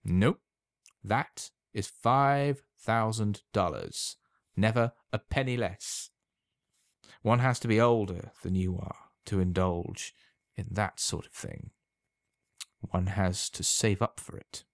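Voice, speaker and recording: A man voicing a sly, knowing old potion seller in a storybook reading, unhurried, insinuating and emphatic. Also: on the low side, no echo, clean studio sound. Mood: sly, businesslike.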